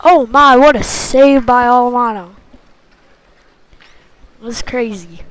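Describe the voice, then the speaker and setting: High-pitched woman calling hockey play-by-play. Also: slow pace, a bit bright, clear, resonant tone, low-quality recording. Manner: short clipped phrases, following play as it happens. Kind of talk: live sports commentary.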